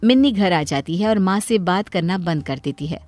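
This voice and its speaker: A woman narrating a moral cartoon story in a simple, measured way. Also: fairly low pitch, expressive, darkish tone, no room sound, soft background music.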